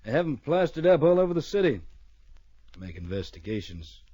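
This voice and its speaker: A stern frontier marshal in an old radio western. He speaks in clipped, commanding phrases with a deadpan edge. The recording is dull and aged, with very little room sound. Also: on the high side, fairly quick, slightly rough, no room sound. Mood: wry narration. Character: stern, dry, no-nonsense Wild West marshal. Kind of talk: old radio western acting with first-person narration.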